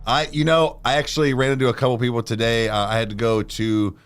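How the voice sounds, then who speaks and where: A man's voice: medium pitch, little pitch movement, fast, clean tone, faint music behind. A man co-hosting a casual livestream who rambles through his thoughts with many "like"s and restarts. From Ohio. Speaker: co-host of a reaction channel livestream.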